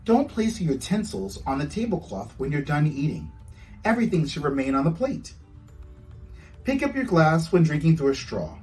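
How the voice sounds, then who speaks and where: A man reading his children's storybook aloud with expressive, storytelling delivery. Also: on the high side, normal speed, recorded from a distance, soft background music. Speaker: author reading own book aloud.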